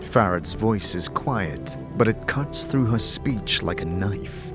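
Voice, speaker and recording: A man with a fairly low voice narrates a fantasy audio drama. His scripted delivery is dramatic and varied in pitch, with clear music underneath. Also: expressive, slow pace.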